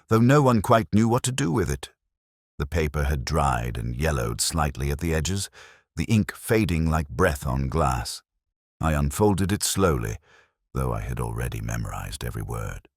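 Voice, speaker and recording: Very deep solemn male narrator, close and dry. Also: sing-song, no room sound. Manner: measured, literary, solemn. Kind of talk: first-person scripted detective story narration.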